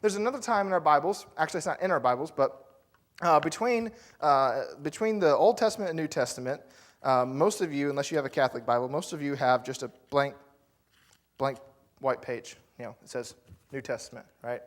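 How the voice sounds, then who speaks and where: A male pastor preaching with a fairly high voice. He talks loosely and conversationally, full of fillers and quick asides to the congregation. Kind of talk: sermon.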